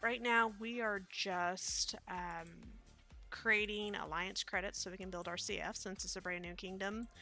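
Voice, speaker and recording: Bright-voiced Midwestern woman streamer. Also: medium pitch, steady tone, measured pace, soft background music. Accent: Midwestern American. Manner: chatty, rambling, many fillers. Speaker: game streamer. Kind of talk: casual live-stream commentary.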